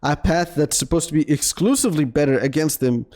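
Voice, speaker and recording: A man streaming live, reacting to his own video, talking in a rambling, excitable way with many fillers and restarts while he loses his voice. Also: a little high, brisk. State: losing his voice.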